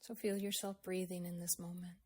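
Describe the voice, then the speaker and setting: A woman leads a guided meditation in a gentle, unhurried manner, leaving long pauses between phrases. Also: fairly low pitch, level tone, breathy edge. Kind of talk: slow guided meditation, with a poem recited.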